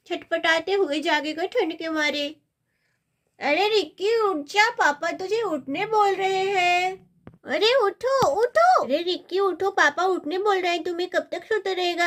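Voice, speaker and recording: A woman's voice: very high voice, fairly bright tone. A woman voicing a mischievous, whiny young girl in a children's cartoon story. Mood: bossy.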